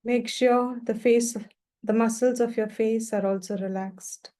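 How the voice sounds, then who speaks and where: A woman guiding a meditation as a teacher, speaking gently and without hurry in short, spaced phrases. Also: on the high side, fairly even intonation. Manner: gentle, unhurried, pausing between short phrases. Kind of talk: guided meditation instruction.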